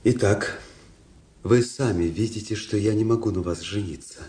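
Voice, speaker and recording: An actor playing a cold, proud young Russian officer in a radio drama, speaking in a measured, formal, restrained way. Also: male voice, on the low side, warm tone, close to an everyday voice. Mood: cold, resolute.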